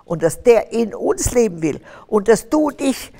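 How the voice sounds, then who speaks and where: Fervent older woman, gravelly. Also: a little high, big pitch swings, normal speed, warm tone. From Austria. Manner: fervent, preaching, rising to emphatic bursts. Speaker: guest. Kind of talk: testimony interview on stage. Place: stage with curtains, large hall. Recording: reverberant hall sound.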